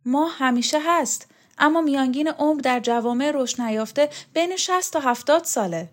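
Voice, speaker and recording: A woman voicing an earnest, anxious young male student who pleads quickly. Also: sits high, lively intonation, voice pushed up. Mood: pleading.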